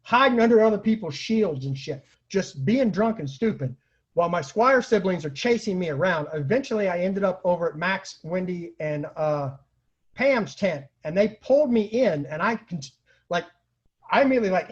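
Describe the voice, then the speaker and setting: Male casual storyteller, high and animated with a husky edge, comic anecdote delivery, slightly muffled home recording. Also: moderate pace. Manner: animated, acts out quoted lines, laughs at own stories. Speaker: storyteller, online show host.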